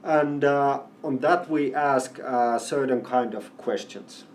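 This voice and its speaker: Halting man in panel interview. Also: medium pitch, a little hiss or hum. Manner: halting, many fillers and restarts. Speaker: company representative. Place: event venue. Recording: distant mic with faint ambience.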